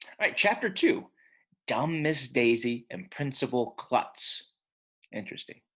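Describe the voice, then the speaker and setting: Husky, very expressive man reading aloud. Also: mid-range voice, unhurried. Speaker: read-aloud storyteller. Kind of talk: expressive children's book narration.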